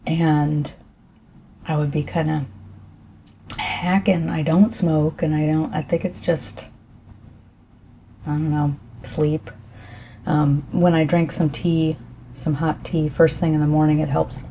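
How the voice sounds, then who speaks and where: A middle-aged woman speaking softly during an ASMR tea tasting, her voice croaky, clearing her throat often, rambling gently with fillers. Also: sits low, flat delivery, a little hiss or hum. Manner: rambling, soft and unhurried with fillers.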